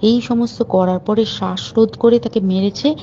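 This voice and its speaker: A woman's voice: medium pitch, steady tone, moderate pace, dark tone. Woman, informal phone talk, indignant, urgent, dull lo-fi call audio, light background noise. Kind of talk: private phone call, recounting events. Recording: muffled phone call recording with faint hiss.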